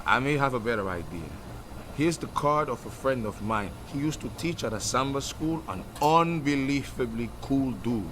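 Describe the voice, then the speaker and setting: With clear traffic noise around him, an actor plays a streetwise musician in a radio comedy drama. He coaxes and encourages like a fond old friend. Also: medium pitch, animated, normal speed, close to an everyday voice. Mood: persuading. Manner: coaxing, affectionate.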